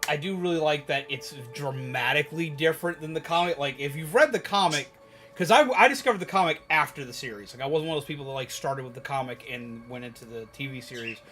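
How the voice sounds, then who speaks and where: A man livestreaming, chatting casually and rambling to viewers in an animated, emphatic, opinionated way. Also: on the high side, fast talker, faint music behind. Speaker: live streamer. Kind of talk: casual rambling chat with viewers while gaming.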